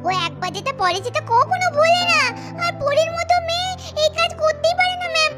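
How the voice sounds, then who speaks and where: A woman voicing a tearful, pleading little schoolgirl in a cartoon, with a high childlike whine, over loud music. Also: big pitch swings, brisk. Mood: earnest.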